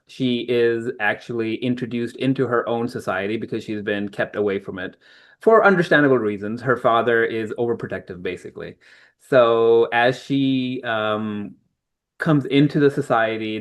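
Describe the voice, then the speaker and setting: A middle-aged man from Pakistan talks through his answers at a measured, explanatory pace, pausing on ums and restarting phrases as he thinks. His voice sits in an ordinary middle pitch for a man. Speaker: author guest. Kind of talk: conversational interview answers.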